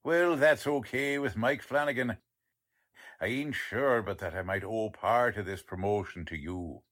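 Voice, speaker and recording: A man's voice: fairly low pitch, measured pace, a bit bright. A man acting a cheerful, proud, unpolished police lieutenant with folksy bluster in a 1940s-style radio drama. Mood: good-natured, self-satisfied. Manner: folksy, ungrammatical, good-natured bluster.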